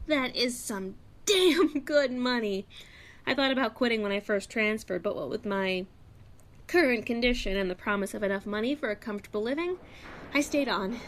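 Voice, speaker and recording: A woman's voice: on the high side, normal speed, lightly acted. A woman playing a chatty, outspoken young store clerk in a radio play, talking in a folksy, slangy, confiding way. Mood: chatty, frank.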